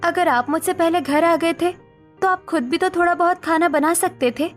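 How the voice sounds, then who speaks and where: A woman's voice: very high-pitched, normal speed, soft background music. A woman playing an assertive working wife in a cartoon story, firm and argumentative. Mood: arguing.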